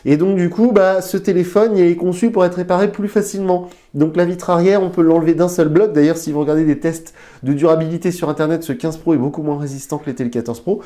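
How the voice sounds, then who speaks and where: A man's voice: a little high, steady tone, fast, warm tone. A man with a stuffy, nasal voice from a cold, hosting a casual tech review into a lapel mic, chatty, with fillers and restarts. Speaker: tech reviewer, channel host.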